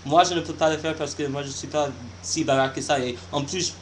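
A young man speaks French, pausing often and searching for words in a shy, halting way. His voice sits a little high, with faint noise behind it. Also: steady tone. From United States. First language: not French. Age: young adult. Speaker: vlogger. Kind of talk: casual vlog monologue.

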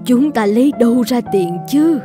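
A woman voicing a motherly older eatery owner in a cartoon, caring and gently insistent. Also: a little high, lively intonation, moderate pace, music in the background, lightly acted. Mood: worried. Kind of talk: animated moral-tale dubbing.

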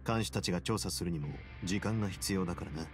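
A man playing a composed, shrewd prison duke in an anime game, explaining things in a calm, measured, confident way. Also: low-pitched, soft background music, lightly acted. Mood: composed, casual.

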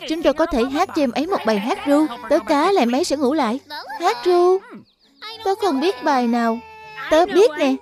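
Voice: high-pitched, expressive, moderate pace. An actor voicing a young animal cub in a dubbed children's cartoon, eager and friendly in a childlike way, with music behind.

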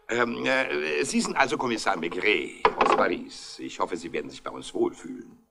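An actor playing a chatty, eager-to-please local man in a 1960s German radio mystery, halting and giggly. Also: lowish voice, gravelly, heavy background noise.

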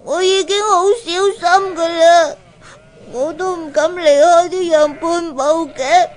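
A woman's voice: very high voice, fairly even intonation, unhurried. A woman playing a young orphan shepherd boy in a Cantonese radio drama, with a thin, plaintive childlike voice and a Hong Kong accent. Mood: tearful, pleading. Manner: plaintive, whimpering.

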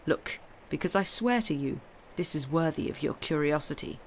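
A man's voice: fairly high pitch, lively intonation, moderate pace, slight background noise, poor audio. A man voicing a cold, worldly Count in an audiobook, declaiming with emphatic scorn for mankind. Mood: intense.

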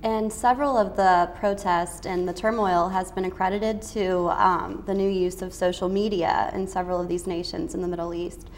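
A young woman asks interview questions in a TV studio, speaking into a lapel mic. Her voice sits on the low side, with faint background noise in the room. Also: steady tone, normal speed, hardly any pauses. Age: young adult. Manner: polite, brief affirmations. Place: TV studio set.

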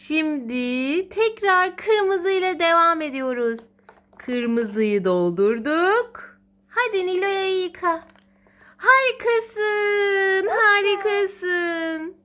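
A woman's voice: extremely high pitch, very slow, sharp tone. A woman talking as a mother to her small child, narrating educational play in an encouraging, sing-song way. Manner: encouraging, sing-song child-directed talk with repeated praise. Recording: clearly noisy home recording with clicks.

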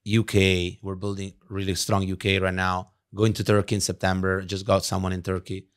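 A man's voice: low voice, monotone, smooth. A man in his thirties from Italy, speaking English as an upbeat podcast guest in a studio. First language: Italian. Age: 30s. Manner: upbeat, laughs easily, quick answers. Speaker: guest, network marketer. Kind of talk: casual interview chat. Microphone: studio mic on boom arm.

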